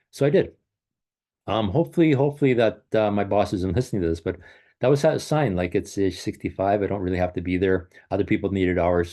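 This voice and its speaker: A man in his sixties with a somewhat low voice talks loosely to a virtual running clinic. He wanders from point to point, catches and corrects himself mid-sentence, and keeps things upbeat. He sounds like he is on a computer call. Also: darkish tone. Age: 60s. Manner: rambling, self-correcting, upbeat asides. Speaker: running coach and race director. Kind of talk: casual talk to a virtual clinic. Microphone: computer video call.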